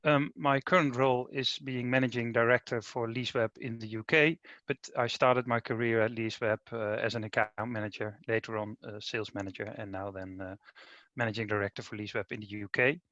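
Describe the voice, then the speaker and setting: A man with a Dutch accent giving a business webinar presentation, pausing often with ums and uhs. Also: mid-range voice, fairly even intonation, fairly bright tone, no room sound, highs cut off. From Netherlands. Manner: hesitant, many ums and uhs. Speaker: managing director presenting.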